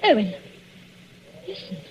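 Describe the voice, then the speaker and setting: An actress with an English accent playing a young wife who answers anxiously and reluctantly, in 1940s radio drama acting on an old broadcast recording. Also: female voice, slow pace, faint music behind, natural voice. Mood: frightened. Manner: anxious, reluctant, clipped replies. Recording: dull lo-fi 1940s radio broadcast with faint hiss.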